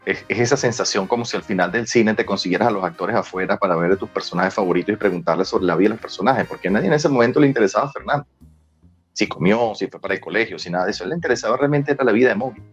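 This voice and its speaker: A man's voice: lowish voice, very fast. A man with a Venezuelan accent answering interview questions in an emphatic, explanatory way. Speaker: guest, screenwriter and former child actor. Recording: dull lo-fi sound with music behind.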